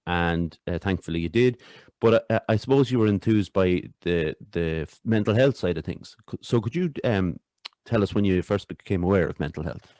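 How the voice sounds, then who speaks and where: Deep-voiced podcast host. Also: male voice, normal speed, a little mellow, no room sound, clean studio sound. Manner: loose, filler-heavy. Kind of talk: casual podcast interview.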